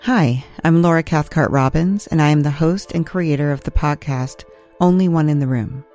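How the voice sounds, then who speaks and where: A woman's voice: low voice, moderate pace, darkish tone. A woman podcast host reading a scripted trailer for a show. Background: music.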